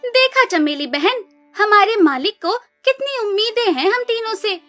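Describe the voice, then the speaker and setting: A woman's voice: very high-pitched, lively intonation, moderate pace, fairly bright tone. A woman voicing a spiteful younger sister cow in a cartoon story, mocking and scornful. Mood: earnest. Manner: taunting, scornful. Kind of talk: Hindi animated moral story dialogue. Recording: music behind the voice.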